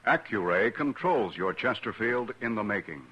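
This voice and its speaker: A man reading a scripted radio commercial as a smooth, persuasive sales pitch. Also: expressive, moderate pace, bright, forceful tone, lo-fi sound. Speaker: announcer.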